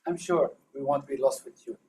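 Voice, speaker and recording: A man's voice: medium pitch, brisk, a little mellow, a little hiss or hum. A man giving upbeat, encouraging feedback on a speech at a club meeting. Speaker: speech evaluator.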